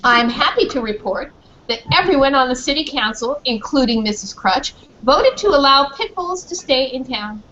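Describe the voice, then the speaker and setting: A woman's voice: high voice, lively intonation, normal speed, fairly bright tone. A woman playing a proud, earnest pit bull dog who narrates his own story in a school play. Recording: muffled, noisy school performance recording.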